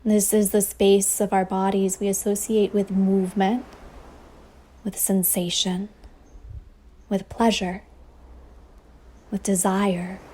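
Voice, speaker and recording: A woman leading a guided meditation as a teacher, speaking softly and breathily in a soothing way with long pauses, over ocean waves. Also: mid-range voice. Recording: faint ambient wave noise behind voice. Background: ocean waves sound effect.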